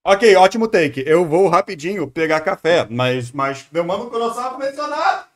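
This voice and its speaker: A man's voice: sits high, very animated, moderate pace. A man with a Brazilian accent giving casual live reaction commentary as a streamer.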